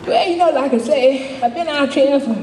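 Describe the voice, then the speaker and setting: A woman's voice: high-pitched, moderate pace, a little mellow, lightly acted. A woman playing a chatty, good-humored older homeless widow, telling her story in a folksy, rambling stage monologue. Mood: bemused, gossipy. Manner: folksy, rambling, repeats "you know", chuckling. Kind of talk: dramatic monologue, storytelling to a live audience. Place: indoor stage performance, distant microphone. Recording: echoey hall from far off, faint noise.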